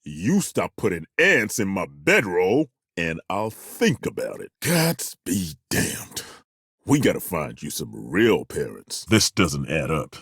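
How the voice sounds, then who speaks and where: Gruff, husky old-soldier dwarf for a fantasy game, unhurried and dry, bright edge in the tone. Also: male voice, low voice, big pitch swings, no room sound, heavily acted. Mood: dry banter. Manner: dry, wry and plainspoken, with roaring battle cries. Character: gruff, folksy middle-aged male dwarf fighter. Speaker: party companion. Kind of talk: video game voice acting, barks and banter.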